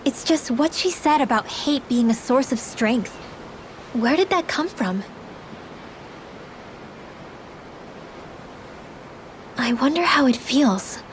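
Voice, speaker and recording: A woman's voice: high voice, expressive, a little slow, slight background noise, low-quality recording. A woman playing a troubled, guilt-ridden teenage girl in a video game, speaking earnestly and hesitantly. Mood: hesitant, pensive. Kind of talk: English video game voice acting. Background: background music.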